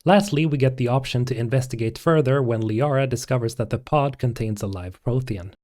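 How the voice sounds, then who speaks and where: A man's voice: mid-range voice, brisk, a little mellow. A man hosting a video with scripted narration. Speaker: video host.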